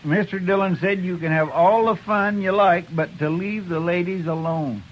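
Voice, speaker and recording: An actor playing a good-natured, deferential frontier deputy with a drawl in a 1950s radio drama. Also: male voice, high voice, fairly even intonation, normal speed, close to an everyday voice. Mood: placating. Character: folksy, good-natured young male deputy. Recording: dull, hissy old radio recording.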